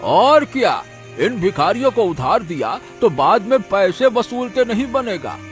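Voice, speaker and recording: A man's voice: high-pitched, big pitch swings, measured pace. A man voicing a stingy, rude shopkeeper who scolds and mocks in a cartoon story. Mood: gloating, contemptuous. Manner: scolding, contemptuous. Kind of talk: cartoon story character acting. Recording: music under the voice.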